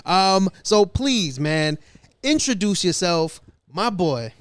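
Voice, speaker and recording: A male podcast host chatting casually, leaning on fillers and warm praise for his guest. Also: high voice, very animated, slow pace, smooth, no echo. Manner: laid-back, repeats fillers like "you know what I mean". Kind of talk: casual interview chat.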